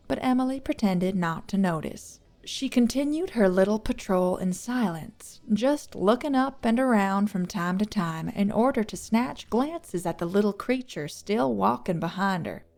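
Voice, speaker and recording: A woman's voice: mid-range voice, lively intonation, unhurried, hardly any pauses, a little mellow. A woman narrating a scripted fantasy story as an audiobook reader.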